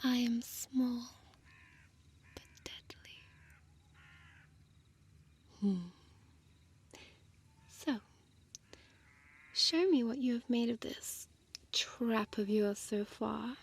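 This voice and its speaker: A woman playing a small, deadly female vampire in an intimate audio roleplay, teasing and condescending in formal words, breathy and often dropping to a whisper. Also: a little high, very slow, pauses often, exaggerated voice. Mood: mocking, teasing.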